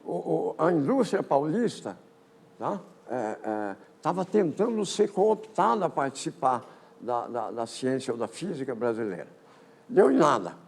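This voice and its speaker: An older man, giving a physics colloquium talk on history in a lecture hall, halting often with repeated words and false starts. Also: on the high side, very animated, vocal fry, darkish tone, slightly rough. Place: lecture auditorium.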